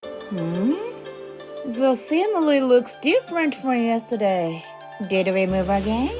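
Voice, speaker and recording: A woman's voice: fairly high pitch, animated, slow, darkish tone, soft background music. A woman voicing an easygoing, slightly clueless mansion gatekeeper in a casual, puzzled way for an audio drama. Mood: sleepy, puzzled.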